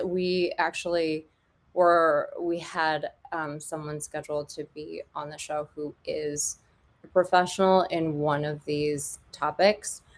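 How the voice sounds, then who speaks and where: A woman hosting a podcast in casual chat, chatty and joking with many fillers. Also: sits low. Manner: chatty, filler-heavy, jokey. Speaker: podcast host.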